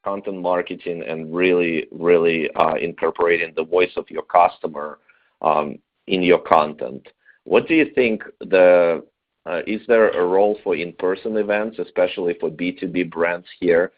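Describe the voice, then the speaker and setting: Over a narrow, phone-like connection, a man with a deep voice hosts a webinar. He feels his way haltingly through unscripted questions for his guest. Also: fairly even intonation. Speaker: webinar host. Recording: thin phone-like webinar line.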